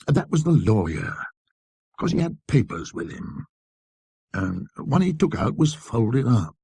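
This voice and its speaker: A man voicing a rattled, talkative antique dealer who blusters and justifies himself in colloquial rambles, in a husky voice. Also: mid-range voice, very animated, measured pace, warm tone, no echo, clean studio sound, heavily acted. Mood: confiding, earnest.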